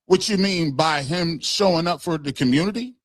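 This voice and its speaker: High-voiced man arguing in rapid questions. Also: no echo. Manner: argumentative, fires rapid pointed questions. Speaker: co-host. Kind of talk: heated sports debate.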